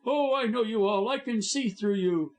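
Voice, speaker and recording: A man putting on a shrill sneering blackmailer. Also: expressive, moderate pace. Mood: savage. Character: scheming blackmailing male lawyer, sneering. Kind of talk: audiobook reading.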